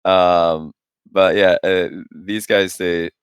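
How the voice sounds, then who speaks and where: A man in his late twenties with a deep voice co-hosts a gaming podcast. He talks in a casual, conversational way. The sound is dry, with no room around it. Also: fairly even intonation, slow pace, clear, resonant tone, dry recording. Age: late 20s. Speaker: podcast co-host.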